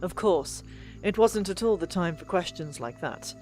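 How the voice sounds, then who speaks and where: A woman narrating a fantasy audiobook in an expressive, deliberate storytelling manner. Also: fairly low pitch. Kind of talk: dramatic scripted fiction narration. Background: background music.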